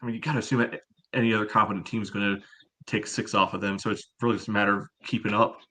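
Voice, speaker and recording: A man's voice: lowish voice, little pitch movement, rapid-fire, sharp tone. A man talking sports casually in a matter-of-fact way.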